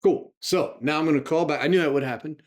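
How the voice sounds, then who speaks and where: A man's voice: on the high side, fast talker. An American man speaking emphatically and indignantly as a political activist making his case. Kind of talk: impassioned spoken political advocacy.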